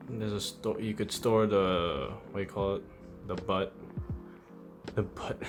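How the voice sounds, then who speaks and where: Male reviewer, fairly deep voice, informal and offhand, rambling chat over a music bed. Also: steady tone, a little slow. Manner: informal, offhand, thinking aloud with mild swearing. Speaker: host of a toy figure review channel. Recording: music under the voice. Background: background music.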